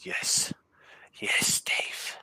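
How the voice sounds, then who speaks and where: A man's voice: extremely slow, bright, forceful tone, no room sound, whispered. A man with a Danish accent chatting over a call, hesitant and giggly, often restarting his sentences. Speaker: client commissioning a map. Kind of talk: casual chat.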